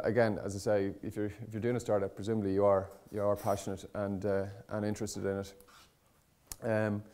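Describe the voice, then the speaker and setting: A man with a fairly low voice presents informally to an audience. He talks conversationally and loosely, doubling back to rephrase himself. His wording is blunt, opinionated and colloquial. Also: darkish tone. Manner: conversational, hesitant, rambling with frequent self-corrections. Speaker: conference speaker on startup content marketing.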